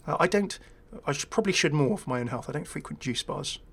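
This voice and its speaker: A man's voice: on the high side, lively intonation, fast talker, gravelly, a bit bright, slightly rough. A man hosting a gaming video, giving casual, rambling, chatty commentary.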